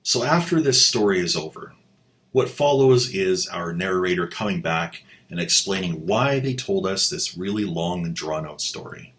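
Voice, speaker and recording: Low-ish guy host doing snarky commentary, dry jokes. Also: lively intonation, moderate pace, fairly bright tone. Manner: wry, mocking asides. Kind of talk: sarcastic commentary.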